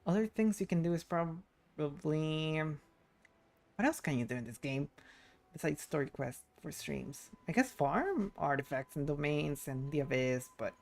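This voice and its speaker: A woman's voice: low-pitched, creaky. A woman livestreaming a game, chatting casually and reading dialogue aloud in a playful, giggly manner. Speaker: streamer.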